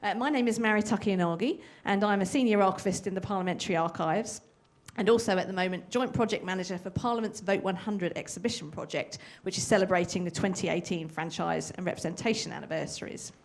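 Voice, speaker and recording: A woman archivist welcoming an audience, going through housekeeping notes and introducing the speaker in a businesslike way. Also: medium pitch. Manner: brisk, businesslike announcing. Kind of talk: formal event introduction.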